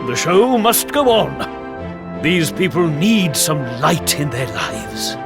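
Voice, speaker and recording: A man's voice: fairly high pitch, big pitch swings, slow pace, vocal fry, loud music behind the voice, heavily acted. A man playing a portly, fatherly middle-aged circus ringmaster in a video game. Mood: moved. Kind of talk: video game cutscene acting.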